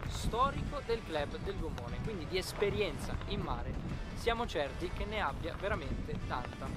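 Young man, high voice, excited race commentary, music underneath. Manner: counts down and shouts the start, builds excitement. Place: outdoors on a boat at sea, then a boat show stand.

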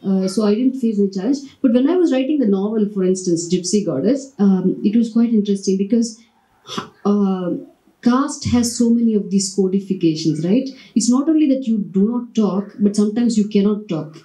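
Woman on a panel, mellow dark voice, reflective, lots of "you know". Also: medium pitch, normal speed, poor audio. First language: Tamil. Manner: reflective, frequent "you know" fillers, self-correcting. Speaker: panelist, writer and translator. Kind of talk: panel discussion, thoughtful speech. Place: large thatched-roof hall at a panel table.

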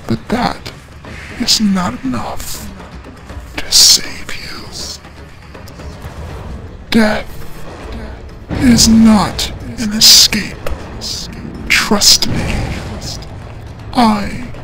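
A woman using a voice changer to play a creepy female killer spirit in a horror game, talking in a slow, sing-song, menacing way with sudden gasps. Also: fairly high pitch, creaky, full character voice. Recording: game music behind the voice.

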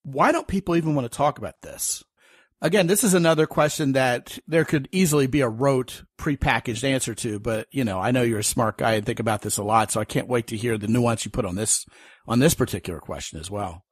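A man's voice: medium pitch, fairly quick, breathy edge, dry recording, studio quality. Chatty storytelling male podcast host. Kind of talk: casual interview chat.

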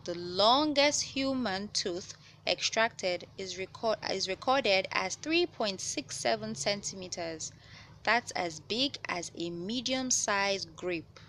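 A woman reading a children's science picture book aloud, with measured, clear phrasing for young listeners. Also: medium pitch, a bit bright. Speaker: children's read-aloud host. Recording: noticeable background noise.

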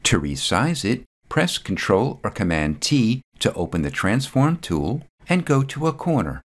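A man reading a scripted step-by-step software tutorial voice-over, calm and methodical. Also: on the low side, measured pace.

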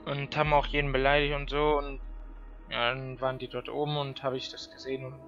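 A man's voice: medium pitch, flat delivery, a little fast, a bit bright, lo-fi sound, faint music behind. A young man chatting casually while playing a video game, blunt and teasing in a slangy way. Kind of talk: casual gaming chat.

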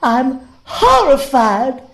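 A woman acts out a distraught genteel lady in an audio drama. She is high-pitched and slow, melodramatic and tearful with horror, in a roomy space. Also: very animated, a little hiss or hum, heavily acted. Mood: horrified. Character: distraught, horrified genteel lady, Miss Dawson.

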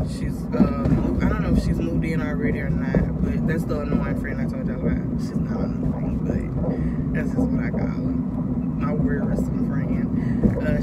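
A woman in her thirties with an American accent, rambling casually to viewers and thinking out loud as she vlogs. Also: sits low. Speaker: vlogger. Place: inside a moving car. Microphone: camera mounted on dashboard, at a distance. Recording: noisy car interior, distant mic. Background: car and road noise.

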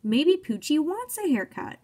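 A young woman with a high-pitched voice reads a story for small children. Her delivery swoops up and down with lots of melody. She speaks simply and encouragingly, as a teacher does with early readers. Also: very animated, unhurried, dark tone. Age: young adult. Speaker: teacher reading aloud to children. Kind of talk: children's picture-book read-aloud with questions to listeners.

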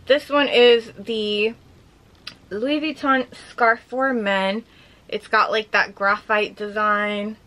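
Bright-voiced chatty young woman, slightly hoarse. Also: medium pitch, fairly even intonation, slow pace. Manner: chatty, gushing, many "like" fillers. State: slightly hoarse, losing her voice. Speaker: vlogger showing her collection. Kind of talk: casual show-and-tell chat.

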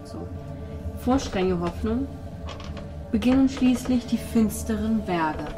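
A woman's voice: on the high side, animated, slow. A woman narrating a tabletop role-playing game as the game master. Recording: music playing behind the voice. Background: music.

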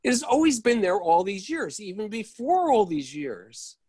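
A man's voice: sits high, very animated, normal speed, a little mellow, breathy edge, dry recording, clean studio sound, exaggerated voice. An actor playing a fussy, flustered man unsettled by change, emphatic and stammering in a play reading. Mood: indignant, incredulous. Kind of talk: script read-through of a one-act play. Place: indoors at home on a video call.

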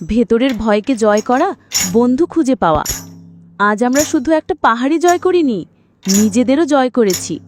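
A woman's voice: fairly high pitch, lively intonation, moderate pace, a little mellow, soft background music, natural voice. A woman voicing a curious, brave and wise cat in a children's cartoon, earnest and encouraging. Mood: uplifted.

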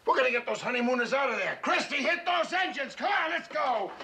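An actor playing a brash, excitable navy skipper who barks orders in quick bursts. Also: male voice, very high voice, creaky, recorded from a distance, exaggerated voice. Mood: urgent. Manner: brash and rapid-fire, barking orders. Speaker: boat skipper. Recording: old noisy TV soundtrack.

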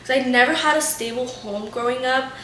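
A woman's voice: high-pitched, brisk, fairly bright tone, roomy sound, a little hiss or hum, rough recording. A young woman in her twenties chatting casually to her camera at home, venting and swearing a lot. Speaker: lifestyle vlogger. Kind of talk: casual storytime vlog. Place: bright living room and kitchen at home.

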